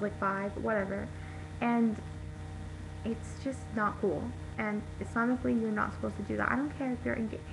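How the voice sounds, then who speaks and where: A woman's voice: on the high side, gravelly, noisy background. A young woman talking frankly to camera in a casual opinion vlog, chatty and full of fillers and restarts. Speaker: vlogger.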